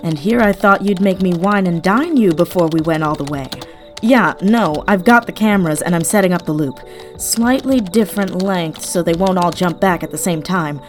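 A woman playing a brisk, sardonic hacker leading a heist in an audio drama, blunt and casual in delivery. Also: fairly low pitch, natural voice. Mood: scornful, wry. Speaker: voice actor in a fiction podcast. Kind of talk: naturalistic audio drama acting. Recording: roomy echo, faint music underneath.

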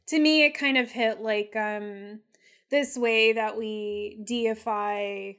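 A woman's voice: medium pitch, steady tone, takes it slowly, fairly bright tone. A woman co-hosting a casual TV recap podcast, speaking bluntly and emphatically and swearing freely. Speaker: podcast co-host. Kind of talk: casual TV episode recap and commentary chat.

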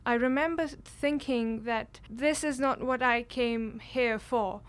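A woman's voice: on the high side, takes it slowly, clean tone. A young woman, earnestly telling a personal story with frequent restarts. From Kashmir, India. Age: late teens. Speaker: exchange student.

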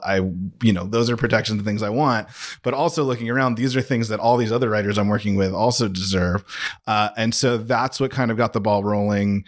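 Rambling, low-voiced man in casual conversation. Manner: rambling, self-correcting, tentative, peppered with "like" and "you know". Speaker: interview guest, writer. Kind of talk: casual conversational interview answer.

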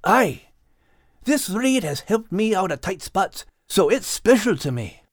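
Man playing a hearty, gruff Scottish dog. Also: high-pitched, sing-song, unhurried, no echo, studio quality, pitched above the performer's own voice, heavily acted. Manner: hearty, growling under his breath. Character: plucky male Scottish dog, gruff, teasing and kindly.

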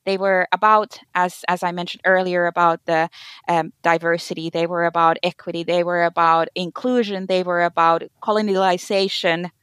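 Thirtysomething woman, speaking english haltingly with lots of uh and um, dry close sound. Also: fairly low pitch, flat delivery, fairly bright tone, smooth, no echo. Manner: hesitant, many fillers and restarts, searching for words. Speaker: conference organizer, guest. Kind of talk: conversational interview answers.

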